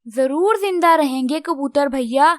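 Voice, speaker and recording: A woman voicing a wise, brave little female bird in a children's cartoon, with a gentle, reassuring manner. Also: sits high, a little slow, slight background noise. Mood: gentle, hopeful. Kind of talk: Hindi children's cartoon dialogue.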